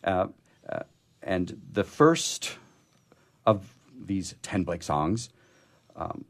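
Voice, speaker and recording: Low-voiced man, expressive poetry host. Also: extremely slow, creaky. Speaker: radio show host reading poetry. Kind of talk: casual talk and expressive poetry reading. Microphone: studio microphone he drifts away from.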